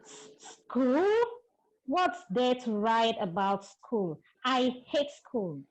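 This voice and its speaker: A woman reading the part of a young girl who hates school, slowly and deliberately. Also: a little high, expressive, low-quality recording. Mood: sulky. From Cameroon.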